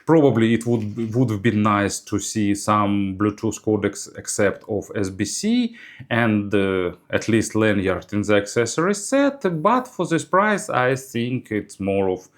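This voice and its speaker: A man delivers a product review in a slightly low voice with very lively, swinging intonation. His English has non-native phrasing, and he halts often, feeling his way through each sentence like a reviewer speaking from notes. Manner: halting, frequent hesitations mid-sentence. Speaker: audio gear reviewer. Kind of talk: semi-scripted product review commentary.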